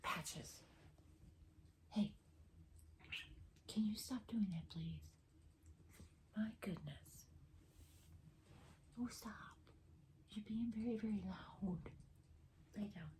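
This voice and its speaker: A woman speaking in a hushed whisper to her viewers, gently and patiently pleading as she tries to reach a spirit from her home. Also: mid-range voice, very slow, pauses often, a little hiss or hum, rough recording. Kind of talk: spirit communication vlog.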